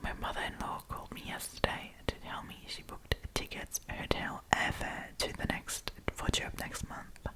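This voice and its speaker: A woman whispering in a breathy, chatty, informal way as she hosts an ASMR storytime, reading aloud and commenting. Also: poor audio. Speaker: host of storytime series.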